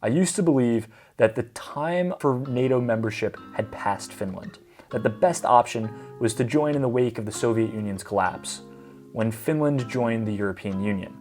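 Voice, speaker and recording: A man's voice: mid-range voice, normal speed, darkish tone, faint music behind. A young man giving a scripted commentary vlog with wry, sarcastic asides. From United States. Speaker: vlogger.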